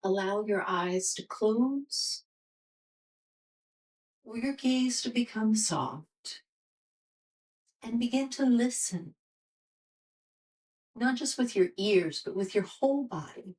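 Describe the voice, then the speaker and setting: A woman teaching restorative yoga, guiding a meditation in a soothing, gentle, unhurried manner. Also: a little high, recorded from a distance, poor audio.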